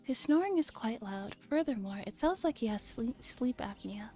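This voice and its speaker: A woman streamer softly reading a visual novel aloud in an ASMR style, with gentle, casual asides to her chat. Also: on the high side, expressive, moderate pace. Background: music.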